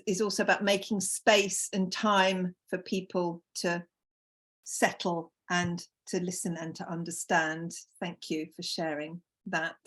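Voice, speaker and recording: British woman facilitating an online discussion. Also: medium pitch. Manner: encouraging, reads out chat comments. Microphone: video call.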